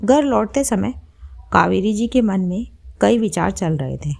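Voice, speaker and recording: A woman's voice: mid-range voice, animated, unhurried, vocal fry, darkish tone. A woman storyteller reading a scripted story as its narrator.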